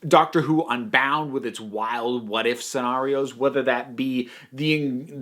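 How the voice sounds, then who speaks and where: A man's voice: fairly high pitch, a bit bright. A man giving an opinionated, conversational review, stressing key words emphatically. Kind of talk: opinionated audio drama review to camera, conversational.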